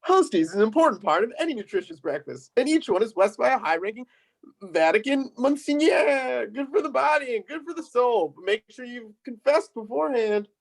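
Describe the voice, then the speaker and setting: A man's voice: animated, a little fast, vocal fry, full character voice, rough recording. A man voicing a cheesy cartoon Christ who cheerfully sells breakfast cereal like a TV commercial, in a script read-through. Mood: cheery salesmanship. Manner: upbeat mock commercial pitch. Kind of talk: table read of a film script.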